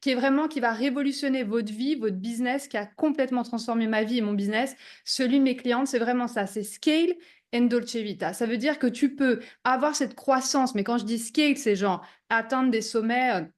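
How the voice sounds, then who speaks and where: A young woman from Switzerland pitches her coaching method over a video call. Her voice sits a little high, and her delivery is energetic, salesy and peppered with English buzzwords. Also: normal speed. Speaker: business coach guest. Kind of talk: online workshop presentation. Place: remote video call from abroad.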